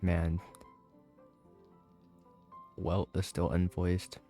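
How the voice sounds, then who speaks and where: A man giving casual, halting and skeptical commentary as he plays a video game. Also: low-pitched, monotone, dark tone, soft background music. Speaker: gaming YouTuber.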